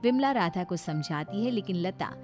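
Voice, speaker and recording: A woman's voice: on the low side, lively intonation, moderate pace, darkish tone, soft background music. A woman reading scripted narration for a moral story.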